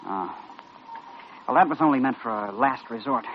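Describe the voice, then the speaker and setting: An actor playing a proud, troubled young American intern, clipped and earnest, in an old-time radio drama. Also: male voice, mid-range voice, normal speed, close to an everyday voice. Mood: defensive. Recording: dull, noisy old radio recording.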